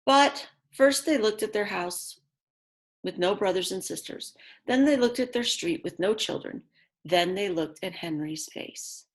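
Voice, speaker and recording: A woman with a very lively, medium-pitched voice reads to young children like a teacher. She moves between expressive storybook narration and simple, chatty explanations aimed straight at her listeners. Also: a little slow. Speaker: teacher reading aloud to children. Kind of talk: children's read-aloud with chatty asides.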